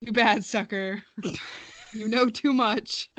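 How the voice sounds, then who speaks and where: A woman's voice: fairly high pitch, fairly even intonation, takes it slowly, fairly bright tone, no echo, poor audio. An American woman in her early twenties co-hosting a podcast, chatting casually with a playful, joking manner. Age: early 20s. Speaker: podcast co-host. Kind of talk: casual chat.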